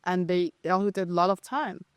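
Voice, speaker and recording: A woman's voice: lowish voice, fairly quick, no echo, studio quality. A young woman from China, a native Chinese speaker with a Chinese accent, talking as a podcast guest with hesitant, restarting speech. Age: young adult. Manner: hesitant, many restarts and fillers. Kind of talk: casual conversation.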